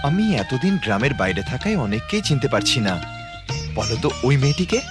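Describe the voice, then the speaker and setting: A man's voice: medium pitch, sing-song, fast talker, loud music behind the voice, natural voice. A man playing a principled, polite young student son in a cartoon, reasoning earnestly. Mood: calm. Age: young adult. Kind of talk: cartoon voice acting.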